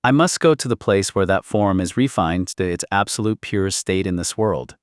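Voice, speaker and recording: A man's voice: low-pitched, animated, moderate pace, dry recording, studio quality. A man voicing a coldly brilliant sixteen-year-old boy philosopher in an audiobook, speaking in grandiose, emotionless declarations. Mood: resolute.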